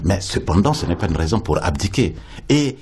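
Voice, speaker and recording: Man, very deep voice, husky, lyrical unhurried phrasing, poet interview guest. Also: sing-song, darkish tone. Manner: slow, lyrical, repeats words while searching. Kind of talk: reflective radio interview.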